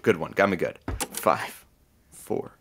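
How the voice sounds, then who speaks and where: Irreverent radio sidekick: very deep for a female voice, husky, animated, dry wit in casual banter. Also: slow, a little hiss or hum, heavily acted. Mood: amused, wry. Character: wry, irreverent radio show producer. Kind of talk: audio drama, casual banter.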